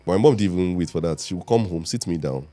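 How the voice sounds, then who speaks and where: Nigerian man, 30s, very deep mellow raspy voice, laid-back storyteller over faint music. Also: expressive, quick pace. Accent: Nigerian English. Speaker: podcast guest. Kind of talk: casual chat.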